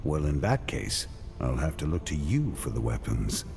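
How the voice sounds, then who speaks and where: Stern, battle-worn fantasy knight: low-voiced, terse, commanding, expressive pitch movement, game cinematic. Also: male voice, moderate pace, vocal fry, a little hiss or hum, exaggerated voice. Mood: guarded. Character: stern, battle-hardened fantasy knight, single-minded and curt. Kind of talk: dramatic video game acting. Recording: background music.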